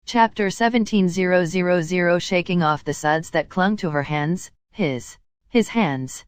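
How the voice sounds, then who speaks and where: A woman's voice: fairly low pitch, a little slow, smooth, dry recording, studio quality. A woman narrating a written story aloud, reading both description and dialogue.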